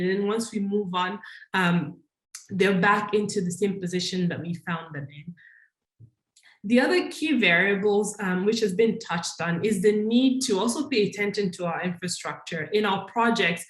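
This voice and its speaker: A woman from Congo speaks at a conference in a mid-range voice. She talks in a thoughtful, searching way, pausing with fillers and reworking her sentences. Her voice carries a noticeable room echo. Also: mid-range voice. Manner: thoughtful, with frequent restarts and fillers. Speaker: conference panelist. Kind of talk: conference talk.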